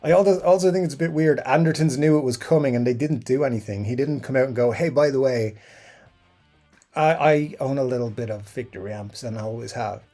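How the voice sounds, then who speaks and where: A middle-aged man hosts a casual live stream, reading comments aloud and musing at length. His voice sits at an ordinary pitch, and his talk wanders with fillers, restarts and asides. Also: a little fast, dark tone, soft background music. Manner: rambling, chatty, frequent fillers and restarts. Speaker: YouTube guitar channel host.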